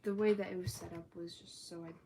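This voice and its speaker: A woman's voice: fairly low pitch, steady tone, quick pace, creaky, mellow, slight background noise. Rambling, chatty teenage girl livestreamer. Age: teens. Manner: rambling, chatty, filler-heavy talk with frequent "like". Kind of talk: casual livestream chat. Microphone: phone or tablet.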